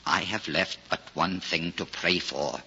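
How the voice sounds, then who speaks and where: An old radio drama recording, muffled and hissy, carries a grieving old village cobbler. His voice is very low and slow, heavy with weariness and bitterness, yet it cuts through with a hard, forceful edge. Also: vocal fry, full character voice. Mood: bitter grief. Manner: slow, weary, old-fashioned formal speech. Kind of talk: 1940s radio drama acting.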